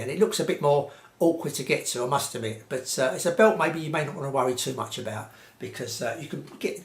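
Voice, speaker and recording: English bloke rambling through a how-to video, chatty and colloquial, bit of a roomy echo. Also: male voice, medium pitch. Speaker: presenter of hi-fi repair tips videos. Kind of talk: casual unscripted tutorial. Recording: roomy, echoey sound.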